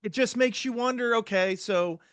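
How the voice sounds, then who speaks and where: A man's voice: extremely high pitch, normal speed, a bit bright, clear, resonant tone, dry recording. A young man hosting an interview as a psychiatrist, telling stories and voicing his own past thoughts. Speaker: psychiatrist host. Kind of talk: casual interview talk.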